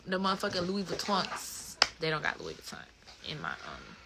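A woman in her twenties with an American accent, chatting casually and playfully on a livestream in animated slang. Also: fairly low pitch, moderate pace, gravelly, a loud crowd. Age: 20s. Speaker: livestream host. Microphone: phone at close range.

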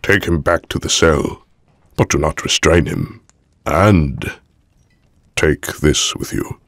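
Very deep, gravelly villainous old count. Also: male voice, a bit bright, no echo, full character voice. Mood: cold command. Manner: slow, measured, imperious. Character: menacing old villainous count, patient and commanding. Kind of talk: fan audio drama acting.

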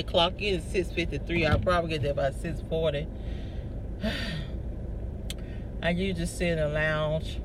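Chatty woman vlogging from her car. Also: sits low. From southern United States. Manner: chatty, talks straight to viewers. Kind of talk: casual car vlog chat. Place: parked car, indoors. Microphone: phone camera on the dashboard. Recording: distant phone mic with loud car noise.

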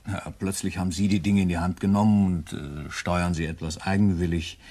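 A guilty, deferential watchmaker from a crime radio play. His voice is deep and husky, and he talks hesitantly, nervously choosing his words. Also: steady tone, brisk, warm tone. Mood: nervous deference. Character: uneasy, deferential male watchmaker with a guilty secret.

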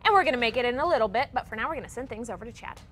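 A woman in her thirties co-hosting a cooking segment on a studio kitchen set, interviewing briskly on a lapel mic. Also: a little high, lively intonation, fairly bright tone, soft background music. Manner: upbeat, quick interviewer. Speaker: TV co-host.

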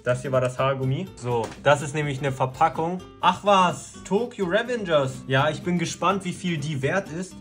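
A man in his twenties chatting casually to camera as a vlogger, in an animated, slangy way. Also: fairly high pitch, moderate pace, few pauses, soft background music. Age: 20s.

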